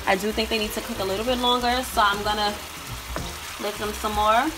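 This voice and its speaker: A woman's voice: medium pitch, fairly even intonation, normal speed, rough recording. A young woman in her home kitchen, chatting to viewers as she cooks and explains each step. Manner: chatty, addresses viewers as y'all. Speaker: vlogger cooking at home. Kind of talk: casual cooking vlog narration. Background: music and food sizzling.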